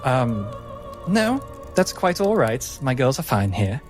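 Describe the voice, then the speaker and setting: A man voicing a proud, anxious madam of a French establishment in a tabletop game, halting, polite but firm. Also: medium pitch, big pitch swings, faint music behind.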